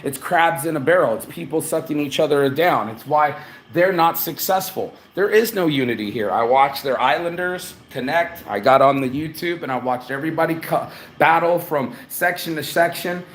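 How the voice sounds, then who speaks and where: A man's voice: a little high, normal speed, fairly bright tone, slight background noise. A man from New Orleans giving a blunt, rambling, profane live travel update from a hotel room. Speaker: vlogger. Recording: echoey room with faint ambience.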